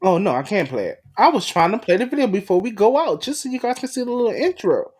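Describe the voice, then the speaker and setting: Guy hosting a podcast, super lively up-and-down delivery, slangy, kinda plugging stuff. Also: high voice, fast. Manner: informal, slangy, promotional. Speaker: podcast host and voice actor. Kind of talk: casual unscripted podcast chat.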